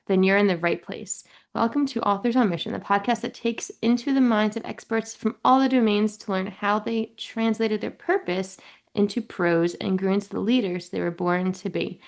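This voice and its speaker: A woman hosting a podcast, reading a polished guest introduction and then asking easy, conversational questions. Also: mid-range voice. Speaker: podcast host. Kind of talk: podcast interview.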